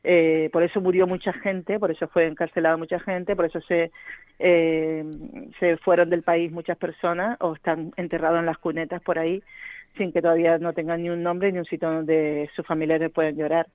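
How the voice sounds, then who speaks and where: A woman's voice: fairly low pitch, level tone, moderate pace, no room sound. Woman on a tinny phone line. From Gran Canaria, Spain. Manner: thoughtful, hesitant with many fillers and restarts. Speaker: novelist, interview guest. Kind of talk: radio interview.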